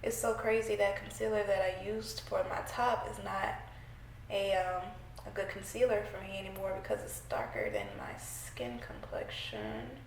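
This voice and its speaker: Congested woman with a gravelly voice. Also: mid-range voice, expressive, normal speed, reverberant, a little hiss or hum, poor audio. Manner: chatty, playful, talks to viewers while working. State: congested from a cold. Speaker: beauty vlogger. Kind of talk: casual makeup tutorial chat. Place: small room at home, indoors.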